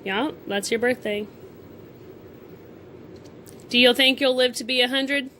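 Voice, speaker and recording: A woman's voice: fairly high pitch, steady tone, measured pace, sharp tone, a little hiss or hum. A woman in her twenties with an American accent, chatting casually and teasingly, asking simple questions and repeating answers back. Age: 20s. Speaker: vlogger interviewing grandfather.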